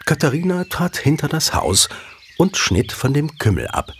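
A man's voice: fairly high pitch, huge pitch swings, normal speed, slight background noise. A man narrating a scripted audio drama as a storyteller.

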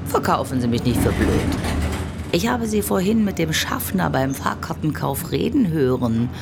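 Radio play: pushy, prying old lady, very low creaky voice, strong music underneath. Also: sing-song, moderate pace, background noise, full character voice. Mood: indignant, insistent. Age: older. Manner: prying, indignant, insistent. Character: nosy, pushy elderly Saxon lady train passenger. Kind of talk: scripted audio drama acting.

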